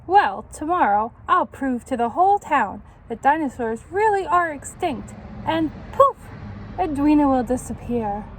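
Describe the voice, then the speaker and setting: A jealous schoolboy from a storybook as a woman reads him aloud, sure he knows everything. He grumbles and schemes with slow determination, in a fairly high voice. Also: lively intonation, a little hiss or hum, low-quality recording. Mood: resentful, scheming.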